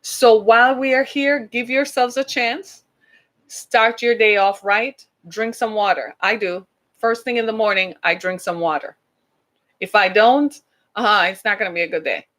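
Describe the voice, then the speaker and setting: A woman's voice: on the high side, measured pace, fairly bright tone. A woman from the British Caribbean with a Caribbean accent, hosting a podcast in a chatty, emphatic monologue full of rhetorical questions. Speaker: podcast host.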